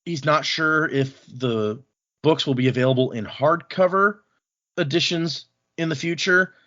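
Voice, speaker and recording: A man's voice: fairly high pitch, unhurried, a bit bright, dull audio. A man chatting casually as a podcast host.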